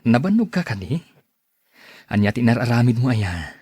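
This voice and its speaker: A man's voice: medium pitch, big pitch swings, normal speed, a little mellow, breathy edge, dry recording. A man with an Ilocano accent acting an evasive, defensive husband in a radio drama. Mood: coaxing. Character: evasive cheating husband, defensive, then pleading.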